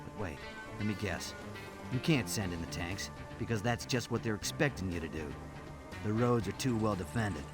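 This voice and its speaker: An actor playing a seasoned, sardonic special forces soldier in a video game cutscene, dry and unimpressed. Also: male voice, on the low side, normal speed, over music, lightly acted.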